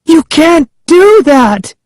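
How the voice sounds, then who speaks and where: Dude doing a terrified girl, high pitched, crying out all horrified, audiobook style. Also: big pitch swings, extremely slow, darkish tone, no echo, studio quality, heavily acted. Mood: shrieking, pleading. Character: frightened, protesting young girl. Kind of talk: audiobook character acting.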